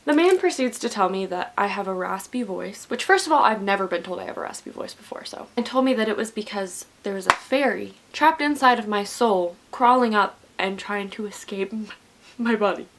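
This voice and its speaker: Young woman chatting thru a storytime, fry-y voice, rambly. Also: medium pitch, expressive, a little fast, slight background noise. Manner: rambling, chatty with many likes. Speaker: vlogger. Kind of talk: casual storytime while getting ready.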